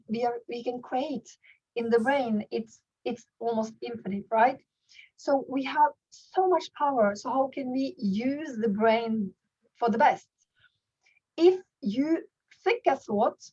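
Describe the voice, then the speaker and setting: A woman's voice: fairly high pitch, darkish tone, lo-fi sound. A woman with a Norwegian accent, a native Norwegian speaker, giving an informal online training presentation in an earnest, explanatory way, often rephrasing mid-sentence. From Norway. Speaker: workshop trainer.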